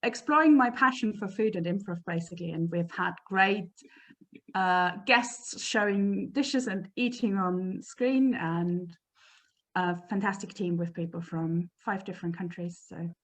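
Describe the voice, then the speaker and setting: A woman's voice: fairly low pitch, a little slow, low-quality recording. A woman whose first language is German, chatting at length on a video call about her work teaching improv. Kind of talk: casual chat on a video call.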